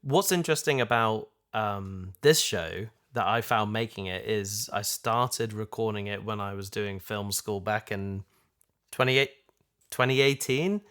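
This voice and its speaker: A man's voice: fairly low pitch, unhurried, fairly bright tone, smooth. Man hosting a casual podcast chat. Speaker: podcast host, show creator. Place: in his house.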